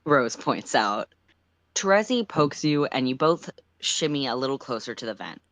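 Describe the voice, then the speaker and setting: A storyteller reading second-person fiction aloud on a livestream in an expressive narrating style. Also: medium pitch, moderate pace, dry recording.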